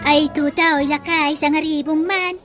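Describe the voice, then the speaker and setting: Very shrill, brash housewife. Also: female voice, steady tone, moderate pace, fairly bright tone, faint music behind. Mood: sassy complaining. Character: brash, vain, debt-prone housewife and mother. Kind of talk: Philippine radio comedy-drama acting.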